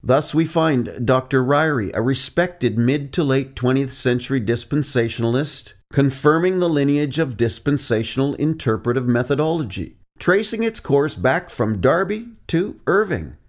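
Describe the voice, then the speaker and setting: A man delivering a scripted teaching narration as a preacher, measured and deliberate, reading out quotes from his sources. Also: mid-range voice, lively intonation.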